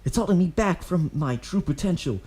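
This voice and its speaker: A man reading aloud and voicing a boastful, excitable twelve-year-old boy ninja who keeps tacking on "yeah" and "hmm?". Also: fairly high pitch, a little fast, breathy edge, pitched above the performer's own voice. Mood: excited boast. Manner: boastful, eager, ends lines with "yeah" or "hmm?".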